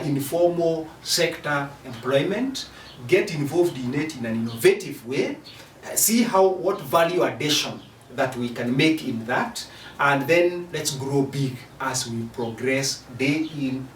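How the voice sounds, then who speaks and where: Echoey distant recording, man, Kenyan accent, formal interview style, deliberate, emphatic, faint noise. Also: medium pitch. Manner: deliberate, emphatic, repeats phrases. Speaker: interviewed commentator on youth employment. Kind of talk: formal spoken interview advice.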